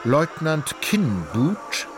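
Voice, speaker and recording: A man acting as narrator of a literary radio drama, an ornate, wry storyteller with a measured delivery. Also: a little high, very animated, noisy background, full character voice. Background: music.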